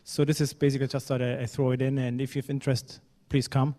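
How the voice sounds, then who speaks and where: A man's voice: mid-range voice, flat delivery, quick pace, warm tone. A man from Germany giving a conference talk in a hall, speaking conversationally with fillers and now and then a phrase that sounds non-native. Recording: reverberant hall.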